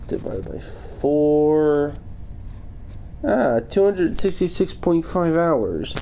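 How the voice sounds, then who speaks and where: A man's voice: on the high side, big pitch swings, slow pace, gravelly, dark tone. A man streaming casually, answering viewers with wordy, formal phrasing. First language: English. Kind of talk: casual livestream chat answering viewer questions. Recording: noticeable background noise.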